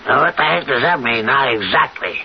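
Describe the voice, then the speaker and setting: An actor playing a gruff, skeptical man in his seventies, impatient and dismissive, in old-time radio drama style. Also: mid-range voice, lively intonation, brisk. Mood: irritated, suspicious. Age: 70s. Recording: dull lo-fi old radio recording.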